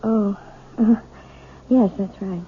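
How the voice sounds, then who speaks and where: An American actress playing a gentle, anxious, evasive married piano teacher in an old-time radio drama, hesitant and gently chiding. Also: female voice, medium pitch, steady tone, slow pace, breathy edge. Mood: flustered, defensive. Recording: muffled, phone-like vintage radio recording.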